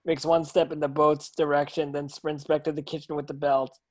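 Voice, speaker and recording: A man's voice: fairly high pitch, level tone, normal speed. A man reading stage directions as the narrator of a table reading, occasionally stumbling over the script.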